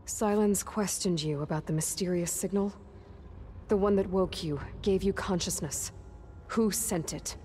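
A woman's voice: medium pitch, a little slow, dark tone, a little hiss or hum, close to an everyday voice. A woman playing a determined, sardonic young warrior heroine in a video game, questioning in a dry, pressing manner. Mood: impatient questioning. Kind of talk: video game dramatic acting.

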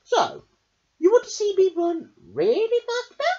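A storybook reader voicing an eager, chatty, needy duck with an excitable, pleading manner. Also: extremely high pitch, unhurried, pitched above the performer's own voice, full character voice. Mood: delighted. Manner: excitable, pleading, swooping exclamations. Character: eager, chatty, needy cartoon duck, pestering best friend. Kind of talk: picture book read-aloud character voice. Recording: dull lo-fi home recording.

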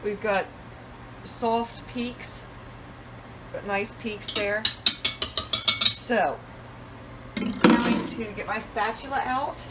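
A woman's voice: on the high side, fairly even intonation, drawn-out words, pauses often, heavy background noise. A middle-aged woman giving plain step-by-step cooking instructions in her home kitchen, with some fillers, picked up by a camera mic a few feet away. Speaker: cooking channel host.

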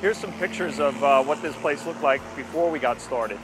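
An older man giving an informal, unscripted explanation to camera outdoors, speaking in a halting, explanatory way. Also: fairly high pitch, poor audio. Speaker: landscape company presenter. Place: outdoors at a street corner by a station. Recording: music behind the voice, outdoor recording. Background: music.

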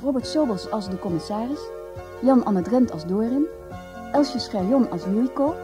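Omroepster met muziek eronder. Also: female voice, on the high side, expressive, normal speed. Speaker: announcer. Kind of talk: scripted radio credits. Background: music.

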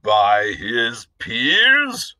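A man's voice: mid-range voice, slow pace, no echo, voice pushed up. A man reading aloud, putting on a heavy, hectoring voice for a blustering, bullying dragoon captain. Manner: loud, sneering.

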